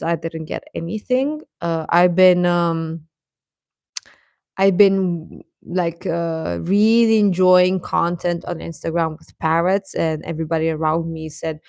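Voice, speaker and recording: A woman's voice: lowish voice, vocal fry, a little mellow, dry recording, studio quality. A young adult woman hosting a live stream, chatting informally with her viewers and often chuckling as she talks.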